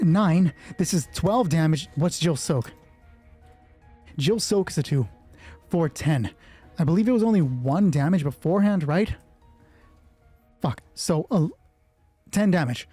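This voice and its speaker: Husky male solo game master. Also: fairly high pitch, warm tone. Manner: halting, thinking aloud. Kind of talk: improvised narration of tabletop roleplay, reading dice.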